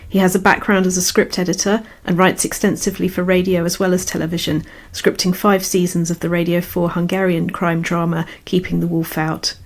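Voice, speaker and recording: Low-pitched woman hosting an interview. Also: flat delivery, a little fast, hardly any pauses, no echo. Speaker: podcast host and interviewer. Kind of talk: warm introduction and conversational interview.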